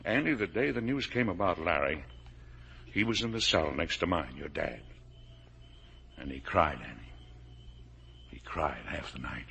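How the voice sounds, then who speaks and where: A man's voice: very deep voice, expressive, measured pace, vocal fry, pauses often, pushed and bright, a little hiss or hum, full character voice. An actor playing a blustering, defensive middle-aged American factory owner and father in emphatic 1950s radio drama style. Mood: subdued, pleading. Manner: emphatic, colloquial, insistent. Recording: old radio drama broadcast.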